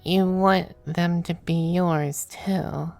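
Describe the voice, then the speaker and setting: A woman playing a possessive, doting, androgynous male demon lover in an intimate ASMR roleplay, speaking softly and often slipping into whispers. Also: lowish voice, fairly even intonation, full character voice. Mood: possessive, firm. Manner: soft, breathy, unhurried, often dropping to whispers. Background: faint music.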